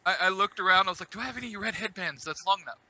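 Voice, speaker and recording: High, very bright male podcast guest. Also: very fast. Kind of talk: casual chat.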